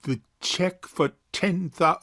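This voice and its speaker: An actor playing a stubborn, wealthy bachelor uncle in a stage farce, formal and stuffy. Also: male voice, on the high side, takes it slowly, fairly bright tone, no room sound, studio quality, heavily acted. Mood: incredulous. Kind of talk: stage farce audio drama acting.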